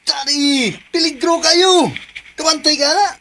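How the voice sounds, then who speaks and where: A man playing an excitable, anxious son in a Filipino radio drama, with a Cebuano accent. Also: very high voice, unhurried, a little hiss or hum, exaggerated voice. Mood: alarmed.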